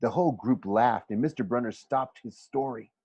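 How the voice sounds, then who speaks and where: A man's voice: mid-range voice, moderate pace, darkish tone, slightly rough, no room sound, highs cut off. A man reading a storybook aloud expressively like a teacher, now and then stumbling and correcting himself.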